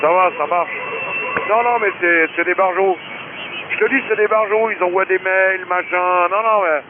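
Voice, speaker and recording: A man's voice: high voice, fairly even intonation, moderate pace. A man chatting casually as an amateur radio operator, crude and slangy, over a shortwave transmission. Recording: hissy narrowband shortwave radio with static.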